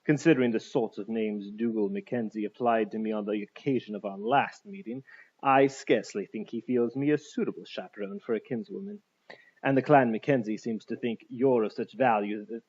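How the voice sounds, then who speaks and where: Storybook villain: husky aristocratic captain, played by a male narrator, formal, mocking politeness, slightly lo-fi. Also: on the low side, darkish tone. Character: suave, menacing aristocratic male army captain. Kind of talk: audiobook character voice.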